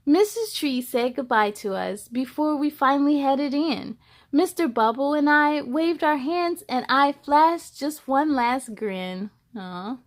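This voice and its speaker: A woman hosting a children's story time, reading aloud in an expressive, animated way with playful asides. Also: high-pitched.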